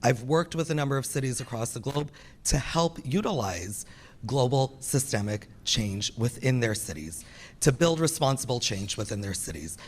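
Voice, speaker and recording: Guy in his 30s from canada doing a campaign pitch at a community meeting, earnest, repeats lines for effect, mid-pitched voice. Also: expressive, normal speed. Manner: earnest, repetitive, rallying cadence. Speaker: council candidate. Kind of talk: campaign speech at a public meeting. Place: community hall. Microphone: wired microphone.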